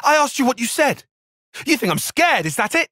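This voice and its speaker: An actor playing a guarded, analytical young soldier-tactician in a video game, with formal, bookish phrasing and a dry, prickly edge. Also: male voice, extremely high pitch, very animated, normal speed, pushed and bright, no echo, full character voice. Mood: agitated, outraged. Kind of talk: video game cutscene acting.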